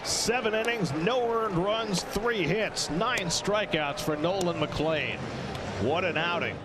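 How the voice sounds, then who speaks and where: A man calling baseball play-by-play with emphatic, drawn-out calls. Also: high-pitched, animated. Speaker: baseball commentator. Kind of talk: play-by-play commentary. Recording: very loud stadium noise.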